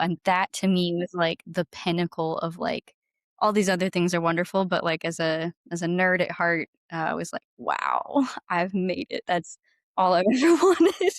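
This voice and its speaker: A woman with a lowish voice talks as a guest in a casual interview. Her manner is enthusiastic and informal, peppered with "like". The sound is very dry, with no room echo. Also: normal speed. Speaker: podcast guest, singer-songwriter.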